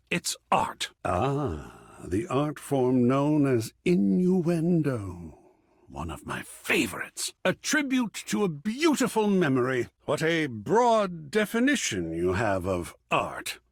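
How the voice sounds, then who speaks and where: An actor playing a flamboyant, gushing, art-obsessed living artwork in a video game, with a theatrical, affected delivery that savours each word. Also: male voice, on the high side, no echo, studio quality, heavily acted.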